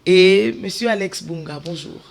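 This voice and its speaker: A woman's voice: on the low side, normal speed, creaky. A woman with a Congolese French accent hosting a panel interview as television presenter in a studio. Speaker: television host.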